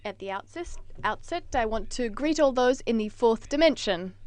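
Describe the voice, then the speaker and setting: A woman interpreting a conference presentation in a steady, deliberate way. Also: a little high, dry recording.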